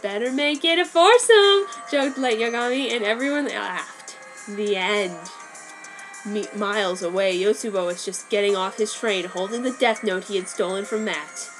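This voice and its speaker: A woman's voice: mid-range voice, steady tone, normal speed, poor audio. A woman giving a comic dramatic reading of fan fiction, with wry offhand asides. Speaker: reader. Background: faint background music.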